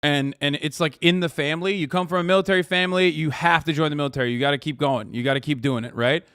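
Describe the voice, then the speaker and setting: Streamer guy from turkey ranting, lots of swearing, bit high voice, dry close sound. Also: fairly even intonation, quick pace, a bit bright, clear, resonant tone, no room sound. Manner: profane, emphatic, rambling with restarts. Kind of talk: reacting to clips, casual rant.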